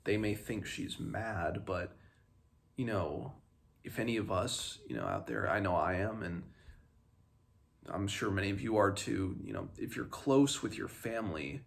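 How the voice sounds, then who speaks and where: A man talks through a book in a halting, thoughtful way, as a read-along host. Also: on the low side, animated, gravelly. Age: 20s. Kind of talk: casual book commentary with passages read aloud. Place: small room at home, indoors.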